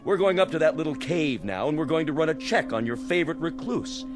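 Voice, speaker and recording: An actor playing a mocking, domineering military major in a radio drama, with a sarcastic, slangy, order-barking delivery. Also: male voice, on the high side, lively intonation, brisk, music in the background, close to an everyday voice. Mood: sneering.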